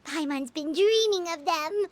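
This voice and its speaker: An actress voicing a tiny, eager, food-loving fairy girl companion in a video game, squeaky and exuberant. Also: unhurried, slight background noise. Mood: delighted, greedy. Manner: squeaky, exuberant, refers to self in third person. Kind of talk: scripted game voice acting.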